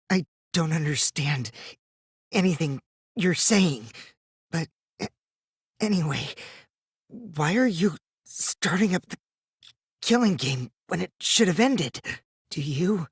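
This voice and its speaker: A mischievous teenage boy from a video game, with a high youthful voice that swings widely from singsong teasing to hushed menace. He is a theatrical little liar who takes his time over his words, recorded close and dry. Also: slightly rough, no room sound, exaggerated voice. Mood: confused, hesitant. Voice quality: boyish, youthful put-on voice. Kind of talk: English video game voice acting.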